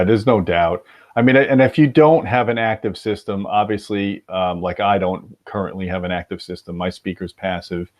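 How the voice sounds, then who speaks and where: A man hosting an informal technical discussion in a conversational, even-handed way. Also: sits low. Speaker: host.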